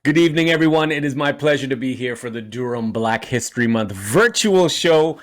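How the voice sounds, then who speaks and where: A man's voice: medium pitch, lively intonation, brisk, dry recording. Man, forties, stand-up comic, punchy and self-mocking, dry close sound. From Trinidad and Tobago. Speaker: comedian. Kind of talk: stand-up comedy set for a virtual show. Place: indoors at home.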